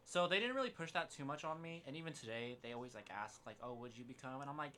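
Bright, laid-back young man. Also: medium pitch, animated, fast talker, a little hiss or hum. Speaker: podcast co-host. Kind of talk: casual chat.

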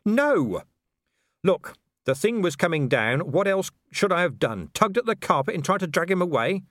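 A man's voice: sits high, very animated, normal speed, a bit bright, no echo, clean studio sound, pitched above the performer's own voice. A man doing a blunt, exasperated watch commander. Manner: blunt, indignant, pushy. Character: exasperated, gruff middle-aged male city watch commander. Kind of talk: audiobook character voice.

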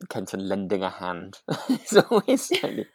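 A British man with a low voice and very lively intonation, recorded close and dry. He co-hosts a relaxed podcast chat, circling back and restarting his phrases as he talks. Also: unhurried, no echo. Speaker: podcast co-host. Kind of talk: relaxed chat discussing a radio drama.

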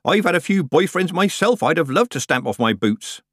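An earthy old country witch, played by a male narrator, teasing and shameless in a storybook. The speech is folksy with dropped consonants, and the pitch leaps up and swings about over a very dry, close recording. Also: lively intonation, normal speed, fairly bright tone, dry recording. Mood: teasing, gleeful. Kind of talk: audiobook character voice.

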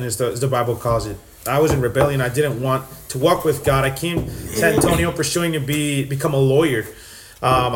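A man's voice: mid-range voice, slight background noise. A young man from Texas sharing his faith story in casual, earnest talk, full of fillers and restarts. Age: young adult.

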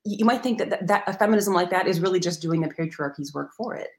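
Fast-talking woman scholar, low-voiced. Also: lively intonation. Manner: rapid, hedging, many restarts. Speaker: guest author. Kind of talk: academic explanation in an interview.

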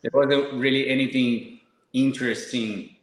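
A man's voice: medium pitch, steady tone, a little slow, reverberant, low-quality recording. A man from Brazil, a podcast guest, talking casually and modestly, often restarting his words. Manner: modest, hesitant, repeats words.

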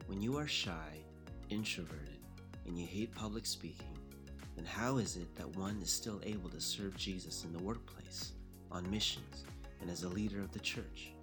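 A man narrates a scripted podcast introduction in a measured, expressive way. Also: low voice, over music.

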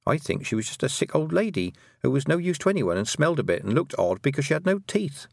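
Man voicing an earnest, high-pitched young girl. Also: big pitch swings, fast, creaky, no room sound, studio quality, heavily acted. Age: child. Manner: hesitant openings, then breathless rush of detail. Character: earnest young girl, stubborn, anxious, blurting. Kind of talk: audiobook character dialogue.

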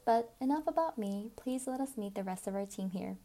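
An eighteen-year-old woman from South Korea hosts a podcast and reads a prepared introduction in a friendly, even way. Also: mid-range voice, fairly quick. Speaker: podcast host and founder.

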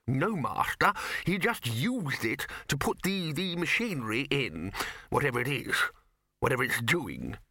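A nervous older bursar wizard, voiced by a male narrator from a storybook comedy. He is fussy and tentative, quavering at a raised pitch and a slow pace. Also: sing-song, pushed and bright, husky, dry recording, full character voice. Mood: anxious.

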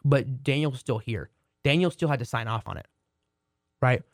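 This is a man co-hosting a casual sports podcast, his voice hoarse from a cold. He rambles and restarts his sentences in a mid-range voice. The recording is dry and close. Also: mid-range voice, normal speed, no echo. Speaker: podcast co-host.

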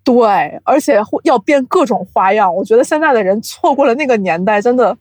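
A woman's voice: a little high, expressive, brisk, a little mellow, dry recording. A woman guest on a podcast explaining things casually, like a storyteller, with self-deprecating asides. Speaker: podcast guest, audio drama producer.

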